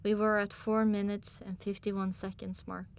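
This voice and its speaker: A woman's voice: medium pitch, flat delivery, normal speed. A woman narrating a story in the first person in a dramatic audiobook style.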